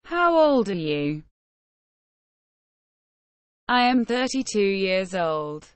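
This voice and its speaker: Slow, careful woman reading phrases. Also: medium pitch, very animated, smooth, no room sound. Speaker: English phrase reader.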